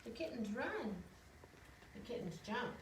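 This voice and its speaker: High-voiced woman reading aloud, distant and noisy. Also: a little slow. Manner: simple expressive storytelling for young children. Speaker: storyteller reading children's books aloud. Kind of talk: read-aloud of children's picture books with casual asides. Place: outdoors in the wind, with animals. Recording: echoey, distant, noisy windy outdoor recording.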